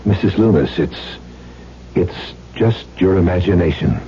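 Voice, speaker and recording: A grave, halting doctor from a radio drama. His voice is very low and mellow, and he speaks slowly on a dull old radio recording. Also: animated. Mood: uneasy, insistent. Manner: halting, hesitant delivery. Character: grave male doctor at a deathbed. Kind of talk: 1970s radio drama acting. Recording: dull, faintly noisy 1970s radio recording.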